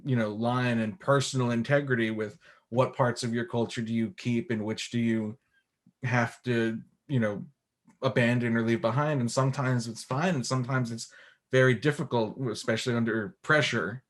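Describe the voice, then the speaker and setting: Casual, mid-pitched male interviewer. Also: steady tone, normal speed. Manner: casual and warm, rambling questions. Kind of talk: conversational interview questions.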